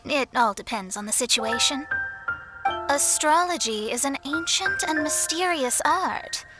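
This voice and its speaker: Young anime game astrologist, high voice, proud, condescending, over music. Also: female voice, lively intonation. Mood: haughty. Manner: self-important, brisk, condescending. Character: proud young female astrologist, self-assured and haughty. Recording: loud background music.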